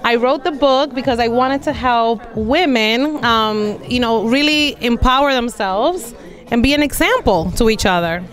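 A woman's voice: fairly high pitch, unhurried. Earnest woman coach with a handheld mic. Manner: earnest, promotional, many fillers. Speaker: life and business coach, author. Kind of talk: event interview testimonial. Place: indoor event room against a plain wall.

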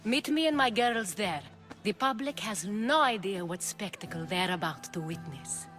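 A woman's voice: medium pitch, expressive, moderate pace, over music, close to an everyday voice. An actress voicing a commanding, vengeful woman leader in a video game, theatrical and imperious. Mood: confident, scheming. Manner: imperious, declamatory. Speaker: rebel leader in an action game. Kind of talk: dramatic video game acting.

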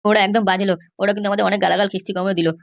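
A forlorn young husband from a comedy cartoon, voiced by a woman, pitched well below the shrill figures around him. He frets and whines helplessly in a hurried stream. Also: little pitch movement, creaky, natural voice. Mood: worried. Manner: whining, helpless. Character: worried, forlorn husband pining for his runaway wife. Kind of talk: exaggerated cartoon dialogue.

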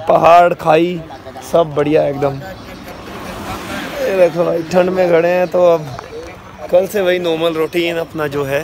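A young man talking to viewers in a chatty, upbeat travel-vlog style while holding his phone at arm's length outdoors. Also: fairly high pitch. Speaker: vlogger. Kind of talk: casual travel vlog narration. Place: outdoors by a roadside, cold evening. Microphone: phone held at arm's length.

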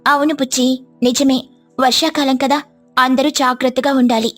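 A woman voicing a good-natured, apologetic mother sparrow in a cartoon story, gentle and conversational. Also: high voice, steady tone, normal speed, faint music behind. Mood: chatty.

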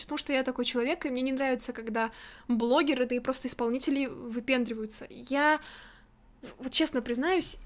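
A young woman streaming from a home room into a studio mic, giving blunt, opinionated commentary and nitpicking as she reacts. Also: high-pitched. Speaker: streamer reacting to a music video. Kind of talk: casual critical commentary. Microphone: large studio mic in front, headset on.

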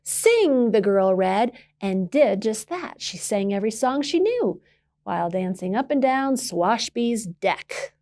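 Slow-paced woman reading a picture book. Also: mid-range voice, expressive, a little mellow. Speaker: library storytime reader. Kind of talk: expressive children's picture-book read-aloud.

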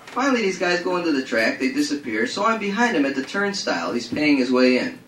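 A man's voice: fairly high pitch, fast talker. A man voicing a brash, foul-mouthed racetrack gambler who spins a streetwise, colloquial yarn in a live reading. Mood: excited, amused. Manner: streetwise, colloquial, excitable storytelling. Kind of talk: acted character monologue in a live reading. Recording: roomy, distant mic, faint noise.

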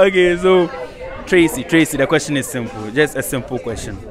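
A man's voice: a little high, lively intonation, fairly quick, a crowd in the background. A young Ghanaian man with a Ghanaian accent hosting a teasing outdoor quiz interview at night, laughing often and slipping into Pidgin. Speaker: street interviewer host. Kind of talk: playful campus quiz interview. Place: outdoor rooftop terrace at night. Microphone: handheld mic.

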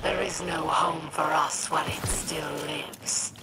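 A woman's voice: low-pitched, fairly even intonation, slow, heavy background noise. A woman voicing a vengeful, imperious alien warlord in a video game, menacing, commanding and scornful. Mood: cold fury. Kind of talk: video game villain acting.

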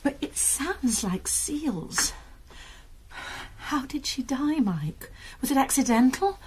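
An actress playing a kind, probing college nurse who turns amateur sleuth in a radio crime drama, speaking gently and often in a hush. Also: female voice, high-pitched, lively intonation, slow, husky, exaggerated voice, low-quality recording. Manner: gentle, questioning, often hushed.

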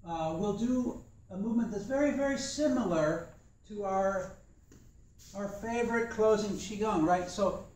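A young man teaching a tai chi class in a dojo while he demonstrates, talking in a relaxed, thoughtful way. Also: high voice, slight background noise. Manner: relaxed, thoughtful, chatty with fillers. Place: large empty dojo with mat floor. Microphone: far camera. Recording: echoey room from a distance.

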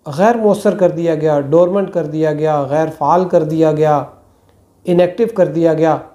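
A man, giving political commentary to camera in a small room on a lapel mic, measured and full of hesitations. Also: high-pitched, dark tone. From Pakistan. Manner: measured, many hesitations and fillers. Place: small indoor room with plain walls.

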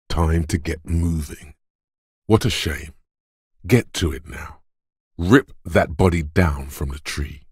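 Very deep, husky macho brute. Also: male voice, a little mellow, no echo, clean studio sound, exaggerated voice. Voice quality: gravelly, husky. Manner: slow, deliberate, commanding. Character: gruff macho inner voice of brute physical strength. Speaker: video game voice actor. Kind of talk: dramatic game narration.

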